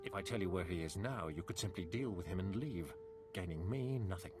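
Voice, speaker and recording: Low, haughty captive nobleman. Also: lively intonation, background noise. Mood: bargaining. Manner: measured, formal, haughty. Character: proud, formal captive nobleman, bargaining for family. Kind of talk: scripted video game dialogue. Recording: clear music behind the voice.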